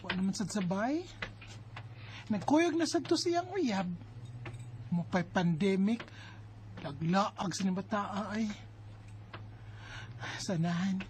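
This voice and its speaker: A woman acting a bossy, concerned married elder sister in a Bisaya radio drama, with an expressive, nagging and exasperated delivery. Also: mid-range voice, a little hiss or hum, natural voice. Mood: searching, worried. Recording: music bed under the voice. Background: background music.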